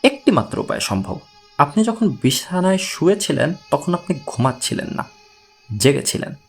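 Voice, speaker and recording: A man voicing a patient, analytical male investigator in an audiobook story, explaining and questioning in a measured way. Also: on the high side, very animated, vocal fry, slightly rough, faint music behind, exaggerated voice. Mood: calm, analytical.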